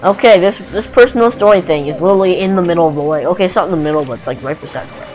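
A man's voice: high voice, very fast, darkish tone, low-quality recording. Goofy young male gamer, exclamatory. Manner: goofy, exclamatory, reacting aloud. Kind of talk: casual gameplay commentary. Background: game music.

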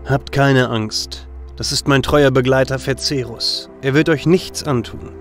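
A man's voice: mid-range voice, steady tone, unhurried, fairly bright tone, soft background music, lightly acted. A man voicing a young warrior hero in a radio play, who is mocking and laid-back. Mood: soothing. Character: young male warrior hero, wry and doubtful.

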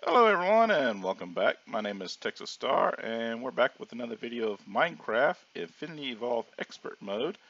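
A hoarse man casually narrating his own gameplay as a channel host, rambling with plenty of ums and restarts. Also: medium pitch, sing-song, a little fast, few pauses, a bit bright. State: hoarse from sinus trouble. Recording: dull lo-fi audio.

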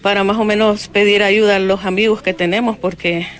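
A woman's voice: medium pitch, steady tone, fairly quick, soft background music. A middle-aged woman with a Honduran accent speaking spontaneously in an outdoor interview, into a handheld microphone. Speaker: interviewee, relative of victims. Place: outdoor patio.

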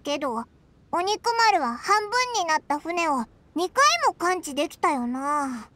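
A woman voicing a small, perky floating fairy companion in a Japanese game dub, with a squeaky childlike voice and blunt, boyish speech. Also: lively intonation, a little slow, a bit bright, a little hiss or hum. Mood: musing. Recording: music under the dialogue.

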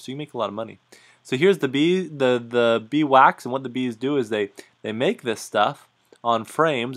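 A man giving a casual, halting explanation of beekeeping over a slideshow, often restarting and correcting himself. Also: medium pitch, a bit bright. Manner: halting, many restarts and fillers, self-correcting.

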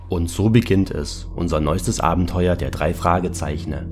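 An elderly storyteller acted by a man narrates a parody detective serial with theatrical, florid phrasing. His voice is very low, with music playing clearly behind it. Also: fairly even intonation, normal speed, lightly acted. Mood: portentous. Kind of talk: scripted narration, parody of detective audio drama.

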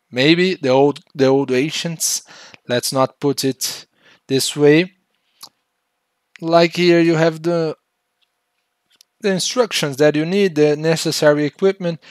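A young Brazilian man whose first language is Portuguese, reviewing a game CD in a halting, careful way on a headset mic. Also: fairly high pitch, no echo, clean studio sound. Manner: halting, restarts words often, slow and careful. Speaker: retro game collector reviewing a CD release. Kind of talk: casual show-and-tell review. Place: small room indoors.

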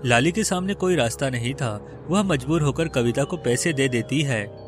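A man's voice: medium pitch, expressive, normal speed, soft background music. A man narrating a scripted children's moral story.

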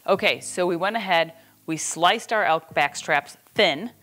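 Low-voiced middle-aged woman, cooking host. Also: measured pace, fairly bright tone, faint music behind. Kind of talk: casual instructional cooking demonstration. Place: home kitchen, indoors.

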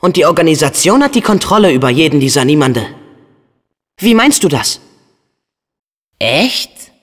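An actor voicing an earnest, excitable teenage boy hero in a video game, with a youthful, expressive and eager delivery. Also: on the high side, moderate pace. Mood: thoughtful, subdued. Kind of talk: video game character dubbing.

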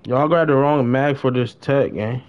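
A man's voice: medium pitch, normal speed, highs cut off. A young man chatting casually on a gaming livestream in slangy talk, often repeating his phrases. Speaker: live streamer.

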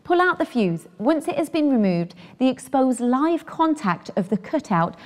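A woman's voice: high-pitched, sing-song, moderate pace. A British woman in her thirties presenting scripted instructions for a training film.

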